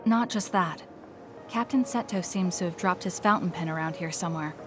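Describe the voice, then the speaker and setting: A woman's voice: on the low side, moderate pace, music in the background, close to an everyday voice. A woman playing a blunt, businesslike young soldier in an English anime game, curt and cool. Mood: cool, probing. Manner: curt, cool, matter-of-fact.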